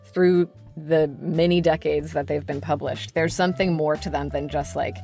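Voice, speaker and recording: A woman's voice: deep voice, fairly even intonation, moderate pace, vocal fry, warm tone, faint music behind. A woman speaking as an interview guest, explaining things casually in very informal, conversational language. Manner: informal, peppering speech with "like". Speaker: interview guest, book editor.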